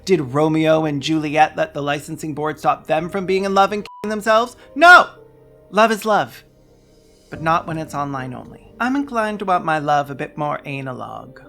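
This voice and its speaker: A video essayist narrates scripted commentary in a mid-range voice. The delivery is theatrical and sardonic, full of dry joking asides. Soft music plays underneath. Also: medium pitch, lively intonation, moderate pace.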